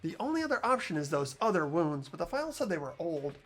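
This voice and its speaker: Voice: medium pitch, very animated, fast, faint music behind. A performer voicing an earnest, hesitant teenage boy student who stammers and questions things. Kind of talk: amateur voiced game playthrough.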